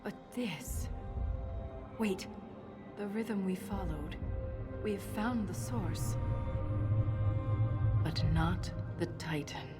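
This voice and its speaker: A woman's voice: medium pitch, extremely slow, long pauses. An actress playing a grave, dedicated, scholarly dwarf woman in a video game cutscene. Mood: awed. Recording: music behind. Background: game score.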